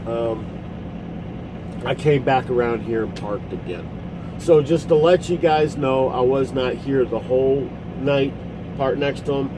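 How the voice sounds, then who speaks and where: A middle-aged man, a truck driver, talking casually to his phone camera from the cab of his parked truck, rambling and halting as he explains. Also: medium pitch. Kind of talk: casual vlog commentary. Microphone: phone camera at arm's length. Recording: phone recording in cab with engine noise.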